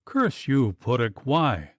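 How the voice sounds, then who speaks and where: A man voicing a boastful, hot-tempered gentleman who shouts and curses in a fright. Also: mid-range voice, huge pitch swings, slow, no room sound. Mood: blustering. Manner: blustering, shouting.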